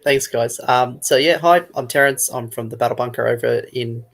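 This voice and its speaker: Man, Australian accent, medium pitch, chatty, informal, casual interview guest. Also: moderate pace, gravelly, a bit bright. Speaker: guest, games retailer.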